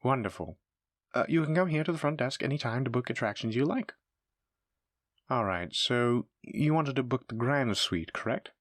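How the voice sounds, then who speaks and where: A man voices a demon receptionist at a resort front desk in an audio roleplay. His mid-pitched delivery swings up and down expressively, and it is captured close and dry. He is formal, polite and eager to sell, speaking straight to the guest. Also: animated, moderate pace, no echo, natural voice. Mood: cheerful, informative. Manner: polite, formal, effusive hospitality patter. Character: courteous, eager demon hotel receptionist, smooth salesman. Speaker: hotel front desk clerk. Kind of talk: scripted roleplay audio addressed to the listener.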